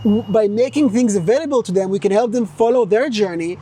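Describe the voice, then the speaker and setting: An Israeli-accented man talks in a fairly high voice, earnest and halting, often restarting his sentences. He is outdoors on a city street with faint noise behind him. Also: lively intonation, mellow. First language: Hebrew. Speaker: tech company founder. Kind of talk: casual street conversation.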